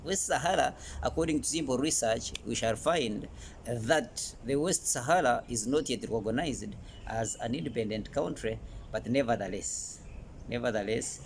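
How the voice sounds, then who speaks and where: Ugandan male educational presenter, emphatic and halting delivery, mid-pitched voice, some background noise. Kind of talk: informal educational presentation to camera.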